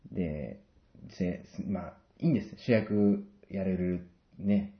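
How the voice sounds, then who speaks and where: A man speaks in a very low voice as a guest in a casual interview. He is self-deprecating and jokey, feeling his way through explanations. The sound is roomy and somewhat dull. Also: slow. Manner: self-deprecating, hesitant, joking. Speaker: guest, stage director and actor.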